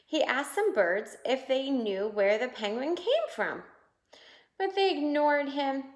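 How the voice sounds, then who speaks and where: A woman reading a picture book aloud to young children in an expressive way, pausing to point out the pictures. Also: sits high. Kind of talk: children's read-aloud storytelling. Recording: roomy, echoing indoor sound.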